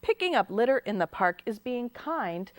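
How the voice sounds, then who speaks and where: A woman's voice: on the high side, sing-song, moderate pace, no echo. A woman playing a kind storybook mom. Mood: encouraging.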